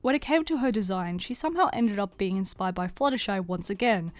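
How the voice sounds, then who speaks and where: A woman's voice: mid-range voice, expressive, a little fast, no room sound. A young adult woman giving casual, scripted voice-over commentary as an artist, chatty and informal with a self-deprecating manner. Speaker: artist and video creator.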